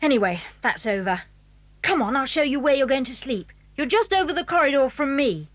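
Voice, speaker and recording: High, lively English schoolboy. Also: female voice, a little fast, dry recording. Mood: confident, brisk. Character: eager, confident schoolboy adventurer. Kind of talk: children's audio drama acting.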